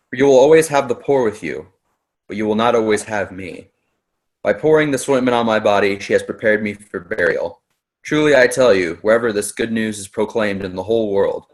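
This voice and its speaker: A man's voice: fairly low pitch, close to an everyday voice. A man playing a solemn teacher who foretells his death, in a readers theater scripture reading. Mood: firm, solemn.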